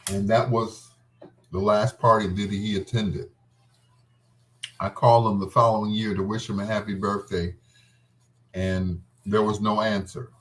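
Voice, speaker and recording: Man, lowish pitch, radio host, casual storyteller, rambling, reminiscing. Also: little pitch movement, measured pace, long pauses, a little hiss or hum. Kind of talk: casual storytelling between love songs.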